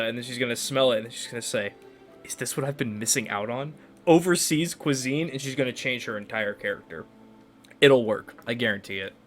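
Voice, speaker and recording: Male gaming host, casual playthrough chat, jokey and self-deprecating, medium pitch for the role. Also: fairly bright tone.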